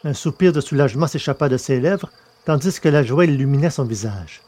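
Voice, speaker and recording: A man's voice: mid-range voice, animated, brisk, darkish tone. A man hosting and narrating an audio fiction podcast in a scripted reading style.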